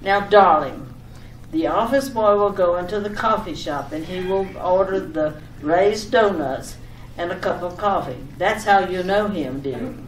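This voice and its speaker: A woman's voice: on the low side, a little slow, natural voice. Woman acting a chattering, insistent wife, echoey distant sound. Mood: insistent, over-explaining. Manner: chattering, coaxing, over-explaining. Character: breezily insistent wife, rambling and mildly exasperated. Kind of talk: old-time radio domestic comedy acting. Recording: echoey, distant, slightly noisy old radio recording.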